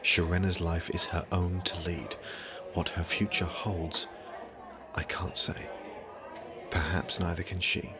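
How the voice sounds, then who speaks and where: Man playing an aloof, deep-voiced young prince. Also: little pitch movement, slow, a crowd in the background. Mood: guarded, aloof. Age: young adult. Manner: formal, dry, guarded speech. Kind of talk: audio drama acting.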